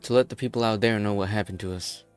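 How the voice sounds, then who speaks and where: A grim, world-weary leader of desperate outcasts, played by a man, speaks in a fairly low voice. He sounds disillusioned, with dramatic game-story delivery over music. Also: fairly even intonation, quick pace, clear, resonant tone, close to an everyday voice. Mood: bitter, resolute. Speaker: amateur voice actor. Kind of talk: dramatic fan-dub voice acting.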